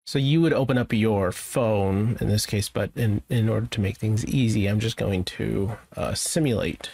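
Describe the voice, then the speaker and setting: Casual man with a gravelly edge. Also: lowish voice, moderate pace, darkish tone, dry recording. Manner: informal, thinking aloud, lightly joking. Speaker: tech tutorial presenter. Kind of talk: casual screen-share software walkthrough.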